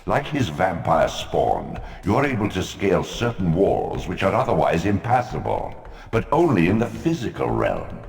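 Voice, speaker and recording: A booming ancient deity. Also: male voice, wildly swooping pitch, vocal fry, a little mellow, reverberant, in a large hall, full character voice. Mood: commanding. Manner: slow, booming and portentous.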